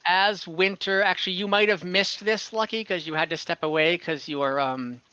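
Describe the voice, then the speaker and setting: Male game master, fairly high bright voice, conversational explainer, slightly dull online-call sound. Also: fairly even intonation, moderate pace, clean tone, no echo. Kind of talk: narrating an online tabletop fantasy game.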